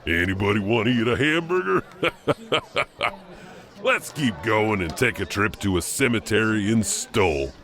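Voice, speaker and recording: A man's voice: on the low side, lively intonation, a little slow, a bit bright. A man playing a sardonic horror host, narrating scripted spooky legends in a theatrical, darkly humorous manner. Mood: ghoulish relish.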